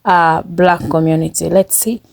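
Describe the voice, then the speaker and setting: A woman's voice: lowish voice, steady tone, measured pace, vocal fry, a little mellow, no room sound, clean studio sound. A woman hosting a reaction video, chatting casually, thinking aloud and laughing often.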